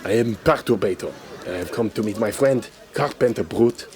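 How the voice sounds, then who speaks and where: A man playing a clueless, self-important European scene celebrity, hesitant and deadpan, in a comedy sketch. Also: on the low side, normal speed, close to an everyday voice. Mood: clueless, pompous.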